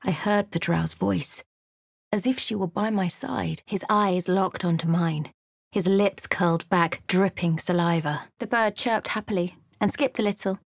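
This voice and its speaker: An actress voicing a brooding, watchful female adventurer in a fantasy video game, telling the tale in a measured, hushed, dramatic way. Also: lowish voice, creaky, no echo, studio quality. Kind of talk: dramatic narrated game voice lines.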